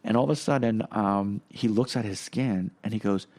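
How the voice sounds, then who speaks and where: A middle-aged man whose first language is Korean, speaking in a fairly low voice. He tells personal stories casually and rambles, with frequent fillers, restarts and small chuckles. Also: normal speed, a little mellow. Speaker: podcast guest.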